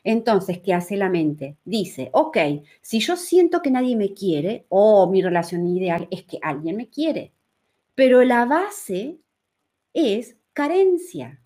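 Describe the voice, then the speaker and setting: A woman's voice: medium pitch, very animated, slow pace, mellow. A woman with a Uruguayan accent teaching in a conversational live talk, repeating phrases for emphasis and often checking in with her listeners. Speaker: human design teacher.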